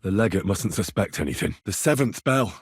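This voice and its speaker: Young medieval adventurer, lowish husky voice, very expressive, earnest and wry, quick to curse, video game hero. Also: male voice, no room sound, studio quality, exaggerated voice. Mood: musing, curious. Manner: muttering thoughts to himself, bursts into shouts. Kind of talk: acted video game voice lines.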